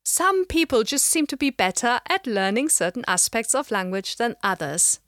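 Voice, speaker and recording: A middle-aged woman with a slight German accent, giving a measured, explanatory lecture. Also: fairly high pitch, big pitch swings, fairly bright tone, no room sound, studio quality. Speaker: language educator. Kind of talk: scripted lecture narration.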